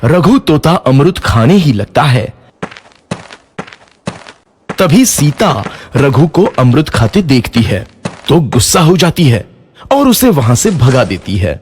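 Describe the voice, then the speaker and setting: A man narrating a children's cartoon story in a lively, expressive way. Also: medium pitch, slow, pauses often, slight background noise.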